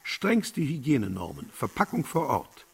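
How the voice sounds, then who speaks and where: An actor playing a cynical, condescending older mentor in a radio play, with a husky voice and a mocking, patronizing manner. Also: male voice, mid-range voice, wildly swooping pitch, measured pace, heavily acted. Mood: cutting, sardonic.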